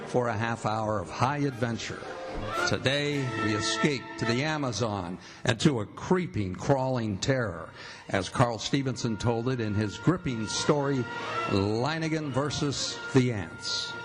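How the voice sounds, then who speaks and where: Man as an ominous radio announcer over loud music. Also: mid-range voice, a little slow, close to an everyday voice. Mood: ominous, dramatic. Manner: dramatic, portentous. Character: grave, ominous male radio adventure show announcer. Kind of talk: 1940s radio drama announcing.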